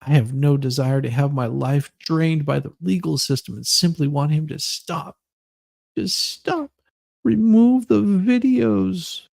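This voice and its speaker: A man acts out an aggrieved woman in her thirties, solemn and theatrical, in a comic dramatic reading. The voice is gravelly and dry, heavy with self-pity. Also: fairly high pitch, measured pace, a little mellow, no echo. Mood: exhausted, pleading.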